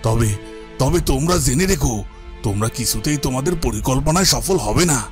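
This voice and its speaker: A man's voice: mid-range voice, lively intonation, brisk, close to an everyday voice. An actor playing a righteous, defiant captive father in a fairytale cartoon, reproachful and declamatory. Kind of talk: dubbed cartoon fairytale acting. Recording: music behind the voice. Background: music.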